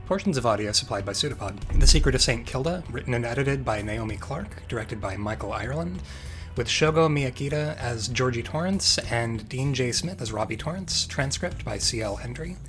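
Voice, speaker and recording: Mid-pitched man reading credits over music. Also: expressive, brisk, a bit bright. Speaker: credits announcer. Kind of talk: scripted credits read.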